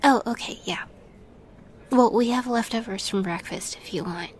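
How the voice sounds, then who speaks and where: A woman playing a shy, sweet young catgirl roommate in a girlfriend roleplay, soft-spoken and hesitant, often slipping into a whisper. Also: a little high, normal speed, close to an everyday voice. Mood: friendly, casual. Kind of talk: girlfriend roleplay audio acting.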